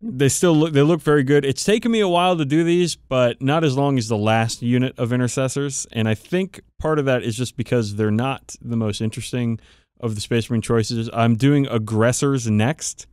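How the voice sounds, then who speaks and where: A man's voice: mid-range voice, normal speed, darkish tone, clean tone, no room sound, studio quality. A man co-hosting a podcast in casual hobby chat, informal and enthusiastic, swearing freely. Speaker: podcast co-host.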